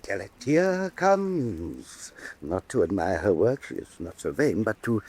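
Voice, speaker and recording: An actor playing a wry, deferential old-soldier beggar in a radio drama, telling things with mock grandeur. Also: male voice, fairly low pitch, very animated, measured pace, darkish tone, husky, full character voice. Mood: wry, proud. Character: obsequious, wry poor male beggar and war veteran. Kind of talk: theatrical radio drama acting.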